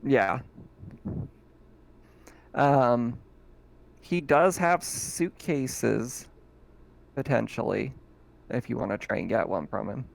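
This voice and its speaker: A man's voice: mid-range voice, slow pace, gravelly, pauses often. A man acting as game master, casually narrating a tabletop roleplaying game.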